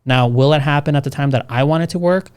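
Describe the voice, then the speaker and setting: Smooth-voiced man in his thirties. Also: fairly high pitch, fast, darkish tone. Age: 30s. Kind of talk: casual podcast conversation.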